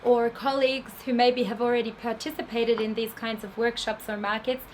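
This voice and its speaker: A woman with an Australian accent giving an explanatory festival talk to an audience, pausing often with ums. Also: on the high side, flat delivery, slight background noise. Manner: explanatory, with frequent ums and restarts. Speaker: film festival industry program head. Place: festival venue before an audience.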